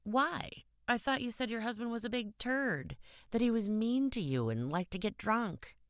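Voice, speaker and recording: A woman's voice: fairly high pitch, lively intonation, normal speed, no echo, studio quality, voice pushed up. A woman voicing a shy, lonely twelve-year-old boy in an audiobook story, with a plain-spoken, boyish manner. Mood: stunned, protesting.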